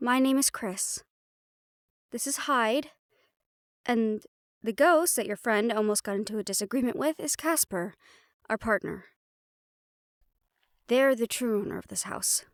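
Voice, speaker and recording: A woman playing a calm, protective householder who befriends ghosts, gently firm and reassuring, in an audio roleplay drama. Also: a little high, unhurried, pauses often, dry recording, studio quality.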